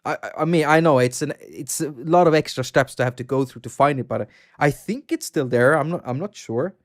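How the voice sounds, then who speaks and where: A man's voice: medium pitch, brisk. A man with a Swedish accent, hosting a casual livestream, rambling about games and reading out chat. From Sweden. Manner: chatty, rambling, reads out viewer chat and laughs often. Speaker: livestream host.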